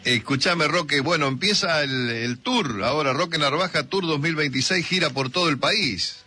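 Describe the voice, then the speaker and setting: A man with an Argentine accent hosting a radio interview, chatty and joking, quick to agree with his guest. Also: a little high, bright, forceful tone. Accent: Rioplatense Spanish. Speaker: radio host. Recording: radio broadcast with music behind.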